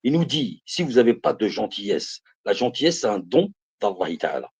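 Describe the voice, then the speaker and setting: A man in his fifties speaks with a husky voice, and his pitch rises and falls widely. He preaches to his viewers on a live stream, stressing his points and repeating phrases as he calls them brothers and sisters. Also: sing-song, moderate pace, a little mellow. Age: 50s. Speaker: live streamer giving religious advice.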